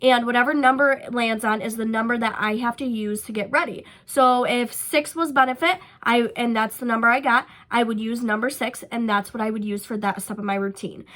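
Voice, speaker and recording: A woman's voice: on the high side, fairly even intonation, fairly quick, hardly any pauses, a bit bright. A young woman with a stuffy, nasal voice from a cold, chatting casually through a makeup tutorial with frequent ums and likes. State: sick with a stuffy nose. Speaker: beauty vlogger.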